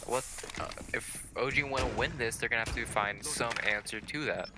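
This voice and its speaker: A man giving measured, explanatory analysis as a colour commentator on a live video game match. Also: medium pitch. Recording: clearly noisy signal with clicks.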